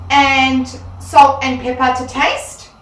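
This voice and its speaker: A woman's voice: high voice, little pitch movement, takes it slowly. A woman teacher giving a casual cooking demonstration in a home kitchen, chatty and explaining step by step with frequent ums. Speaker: Italian teacher. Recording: roomy sound, mic at a distance, faint room noise.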